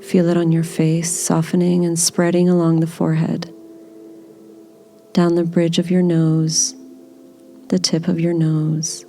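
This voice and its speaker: A woman leading a guided body scan meditation in a gentle, soothing, unhurried manner. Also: lowish voice, monotone, a little hiss or hum.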